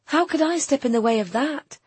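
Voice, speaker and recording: Woman voicing a tender young woman, raised pitch. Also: animated, a little fast, dry recording. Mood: bewildered, upset. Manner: gentle, pleading, higher-pitched than the narration. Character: tender young woman, pitying, earnest, emotional. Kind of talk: audiobook character dialogue.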